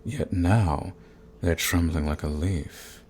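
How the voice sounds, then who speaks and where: An actor playing a suave, sadistic vampire lord in an ASMR roleplay, with a theatrical, silky, condescending manner and a husky, gravelly voice. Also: male voice, deep voice, a little mellow, slight background noise. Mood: mock sympathy. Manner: theatrical, silky, condescending endearments, drawn-out phrasing. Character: suave sadistic male vampire lord, predatory, mocking, possessive.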